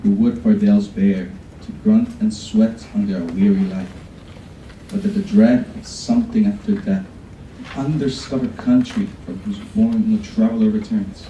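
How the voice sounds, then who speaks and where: Young man reading a monologue at a podium, very mellow tone, room hum behind. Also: on the low side, sing-song, moderate pace, recorded from a distance. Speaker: contest reader. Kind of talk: dramatic poetry recitation. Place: large college event room, from far off. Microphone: podium mic, far camera. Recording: echoey room recorded from afar.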